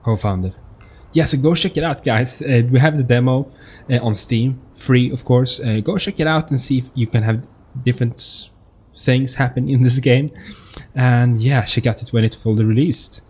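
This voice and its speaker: A man hosting a gaming video with informal, enthusiastic, somewhat halting commentary in a husky voice. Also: medium pitch, a little mellow. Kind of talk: casual let's-play commentary. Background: game music.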